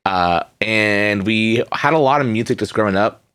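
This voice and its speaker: Relaxed low-voiced man, dry sound. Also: normal speed, clean tone, dry recording. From Redmond, Washington. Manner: relaxed, rambling, frequent fillers and restarts, laughs easily. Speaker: jazz musician guest. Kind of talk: casual interview.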